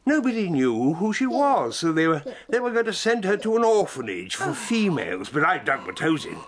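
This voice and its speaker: An actor playing an eccentric, blustering old English gentleman professor in a radio drama, with a grandiose, old-fashioned way of talking. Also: sits high, sing-song, normal speed. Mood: breezy, dramatic. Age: older. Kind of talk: full-cast radio dramatisation.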